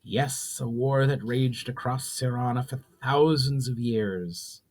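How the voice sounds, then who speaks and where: A man voicing an ancient, weary sorceress in a storybook reading, speaking slowly and solemnly with deep sorrow. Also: a little mellow. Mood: sorrowful, solemn. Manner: slow, pained, solemn speech.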